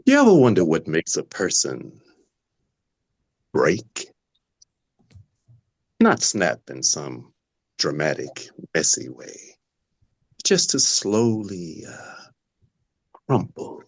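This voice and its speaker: A man acts out a brooding male patient in a dramatic script reading. He is slow and deliberate, probing with halting pauses in a very low, unsettling voice, recorded close and dry. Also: huge pitch swings, slightly rough, no echo, full character voice. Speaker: actor in a script table read.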